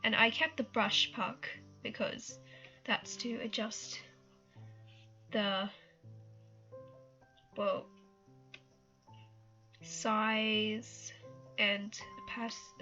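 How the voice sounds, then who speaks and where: Slow, bright-voiced young woman with a cold. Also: mid-range voice, level tone, dull audio, soft background music. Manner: rambling, self-conscious, laughs and apologizes often. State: has a cold. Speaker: art tutorial vlogger. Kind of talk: casual screen-recorded tutorial.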